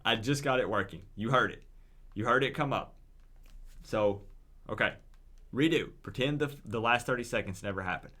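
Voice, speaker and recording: A man hosts a live call-in stream in a medium-pitched voice. He talks casually and directly to his audience, with an informal, encouraging way of drawing them in. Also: normal speed, pauses often, sharp tone. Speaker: livestream host.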